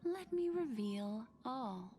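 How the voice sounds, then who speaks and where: An actress voicing a poised, knowing, ominous woman in a video game, theatrical and measured. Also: on the high side, very animated, background noise. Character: poised, ominous woman who reads lingering memories. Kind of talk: scripted video game acting.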